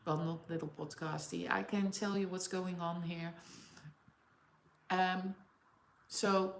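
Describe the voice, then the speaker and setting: A woman's voice: on the low side, little pitch movement, takes it slowly, vocal fry, roomy sound, slight background noise. A woman from the Netherlands with a Dutch accent, chatting casually with viewers on a livestream and laughing often. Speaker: livestream host. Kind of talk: casual live chat with viewers. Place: home in Auckland.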